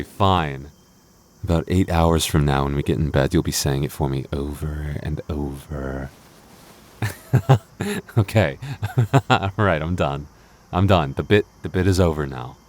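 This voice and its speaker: A man's voice: low voice, darkish tone. A man playing a jealous, clingy, teasing boyfriend in an intimate roleplay audio, stammering and playfully defensive. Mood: playful pleading.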